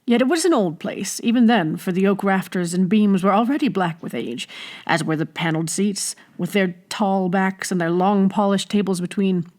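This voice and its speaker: A woman audiobook reader with a mid-pitched voice. She narrates expressively from a script, in the style of classic storybook telling. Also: normal speed, darkish tone.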